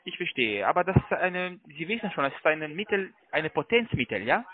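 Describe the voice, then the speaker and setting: A man who is a non-native German speaker, politely and effusively working through a sales pitch as a product adviser. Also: big pitch swings, a little fast. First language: not German. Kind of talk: semi-scripted sales phone call. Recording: tinny narrowband phone line.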